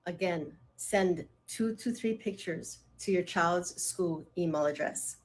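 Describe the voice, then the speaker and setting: An older woman from Hawaii, a grandmother, reading out a school assignment in a flat, list-like way and then venting indignantly. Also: on the low side, slow pace, poor audio. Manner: indignant, reads list of questions then vents. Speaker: grandmother in a social media video. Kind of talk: reading aloud and ranting.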